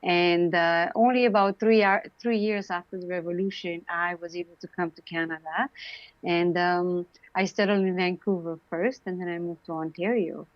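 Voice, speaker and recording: A woman from Romania in her late forties with a Romanian accent, chatting as an interview guest, warm and full of fillers and laughs. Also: fairly low pitch, steady tone, normal speed, no room sound, lo-fi sound. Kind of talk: casual interview talk.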